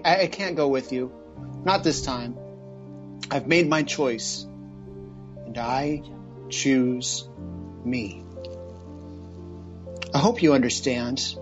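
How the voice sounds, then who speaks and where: A man's voice: a little high, highs cut off. A man playing a torn, earnest figure giving an overwrought, solemn farewell in a soap-opera parody.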